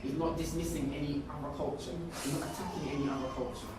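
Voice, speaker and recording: An emphatic community organiser with a mid-pitched voice talks informally to a group, repeating phrases to drive points home. The sound is very echoey, picked up from a distance with clear background noise. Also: fairly even intonation, fast. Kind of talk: informal speech at a group discussion. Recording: echoey room, distant mic, noisy.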